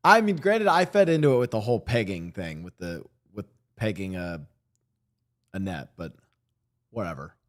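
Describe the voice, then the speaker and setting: A man's voice: lowish voice, animated, normal speed. Guy streaming and talking to chat, rambly, curses, voice goes up and down a lot. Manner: swearing, rambling, talks to chat. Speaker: streamer reacting to a clip. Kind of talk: casual live-stream chat.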